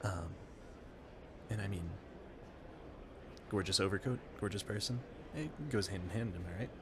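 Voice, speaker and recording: Man acting a flustered, low-voiced romantic gentleman. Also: moderate pace, background noise, lightly acted. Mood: admiring, flustered.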